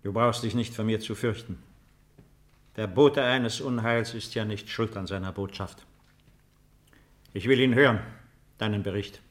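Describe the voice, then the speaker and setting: An actor in a 1950s German radio drama playing a composed, weary old ruler with a formal, measured, authoritative manner. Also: male voice, on the low side, lightly acted. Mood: calm, commanding.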